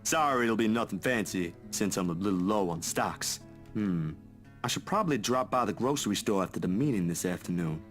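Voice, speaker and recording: A man's voice: lowish voice, animated, brisk, faint music behind, natural voice. An actor playing an easygoing, caring young man in a visual novel, talking casually and teasing a friend in a folksy way. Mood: playful, teasing.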